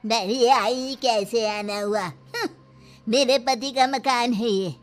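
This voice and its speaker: A woman acts out a domineering old village grandmother from a moral story. Her voice is high and she speaks slowly, scolding and complaining with haughty authority. Soft music plays underneath. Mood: scornful scolding. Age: older. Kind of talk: acted Hindi moral-story dialogue.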